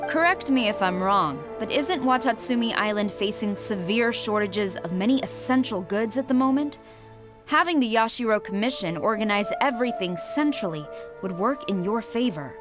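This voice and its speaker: A woman's voice: medium pitch, animated, moderate pace, over music, close to an everyday voice. An actress playing a stern female military commander negotiating with formal, firm phrasing in a video game.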